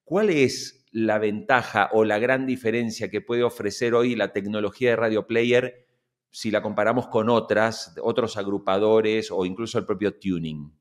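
A man's voice: on the low side, steady tone, normal speed. A middle-aged man presenting a podcast and interviewing guests.

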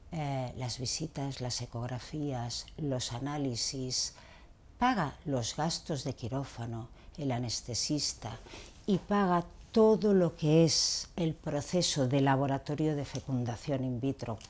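Woman in her fifties, low-pitched, didactic, emphatic, doctor talking to camera in a small consulting room. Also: darkish tone. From Barcelona, Spain. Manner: didactic, emphatic, rhetorical questions. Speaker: gynecologist, video blogger. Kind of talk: explanatory talk to camera.

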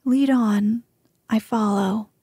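A woman voicing a brave, gentle young male knight in a whispered storybook reading. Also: a little high, slow, slightly rough, dry recording, clean studio sound. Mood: resolute. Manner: calm, quietly resolute.

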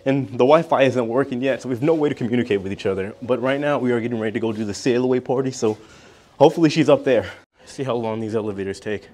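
A man's voice: medium pitch, quick pace, darkish tone. A man in his thirties casually narrating a travel vlog to viewers, recorded at arm's length while walking around. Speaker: travel vlogger. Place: cruise ship interiors and open decks. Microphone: handheld phone or camera at arm's length.

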